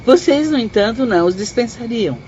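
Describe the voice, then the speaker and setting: An actress with a Brazilian accent playing a serene, instructive spirit sister in a radio drama, with formal, didactic speech. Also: female voice, on the high side, animated, brisk, mellow, close to an everyday voice. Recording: dull audio with faint background noise.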